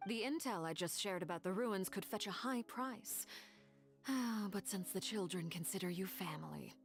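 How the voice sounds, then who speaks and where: A woman playing a composed, commanding leader of a family of orphans in a video game, speaking formally and with measured, precise words. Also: mid-range voice, close to an everyday voice. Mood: earnest, composed. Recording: music behind the voice.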